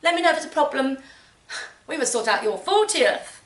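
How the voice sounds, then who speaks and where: Woman acting loud breezy brother on voicemail, pitched up. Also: animated, normal speed. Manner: loud, projected, chummy. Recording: roomy, distant mic, background noise.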